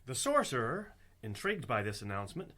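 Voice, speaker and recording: A man's voice: medium pitch, big pitch swings, brisk, very noisy, close to an everyday voice. A man acting as the theatrical narrator of a fantasy comedy radio play, reading scripted scene descriptions. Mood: wry storytelling.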